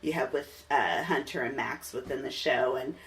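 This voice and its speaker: A woman's voice: very deep voice, normal speed, a bit bright, distant mic, slight background noise, low-quality recording. A woman hosting a podcast, chatting casually and enthusiastically with a guest. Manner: enthusiastic, laughs often. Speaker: podcast host. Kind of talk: casual interview chat.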